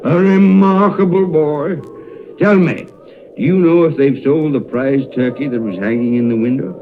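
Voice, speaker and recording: An actor playing an elderly reformed miser in a vintage radio drama, exuberant and chuckling, full of exclamations. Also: male voice, on the high side, fairly even intonation, moderate pace, crowd noise, natural voice. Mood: giddy delight. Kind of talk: 1930s radio drama acting. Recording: noisy, dull 1939 radio broadcast.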